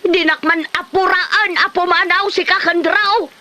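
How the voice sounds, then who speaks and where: A woman's voice: extremely high pitch, fairly even intonation, measured pace, sharp tone, no room sound, full character voice. A woman playing a fed-up, defiant old wife and mother in an Ilocano comedy radio drama, with an Ilocano accent and a combative, nagging manner.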